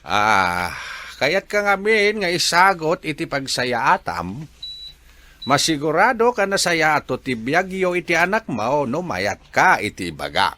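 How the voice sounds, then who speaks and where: A man playing an insistent older father in a Filipino radio drama, persuasive, with emphatic, drawn-out delivery. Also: on the high side, sing-song, sharp tone, full character voice. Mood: coaxing. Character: insistent older father pushing his daughter to marry.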